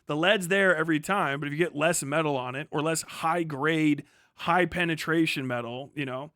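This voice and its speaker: A man talks like a livestream host mid-rant, with a bright, forceful voice pitched a little high. He rambles casually, swears freely and explains things with emphasis. The sound is dry and close. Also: moderate pace, no room sound.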